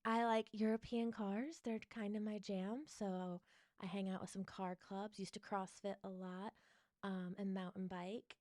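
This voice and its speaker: A laid-back woman from upstate New York, with a hint of an upstate accent, co-hosting a podcast from her desk. Her voice sits at a middle pitch, recorded close and dry. She laughs easily and fills pauses with ums. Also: flat delivery, darkish tone, no echo. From northern New York. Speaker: podcast co-host, real estate agent. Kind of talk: casual chat. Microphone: podcast mic at her desk.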